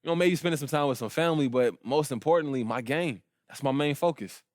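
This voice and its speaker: A man's voice: on the high side, fast talker, dry recording, studio quality, natural voice. Guy acting as young hoops rookie in a video game, casual slang, cool and confident. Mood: earnest, assured. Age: young adult. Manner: casual, slangy, self-assured. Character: confident, laid-back young pro basketball rookie.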